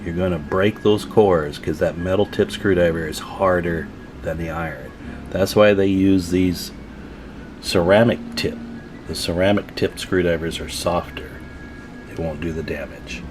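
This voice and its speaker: A man with a low voice talks through a repair job step by step. His delivery is informal and folksy, like someone explaining while his hands are busy. Faint music sits behind him. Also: a little slow. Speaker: radio repair tutorial host. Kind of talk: casual hands-on tutorial narration.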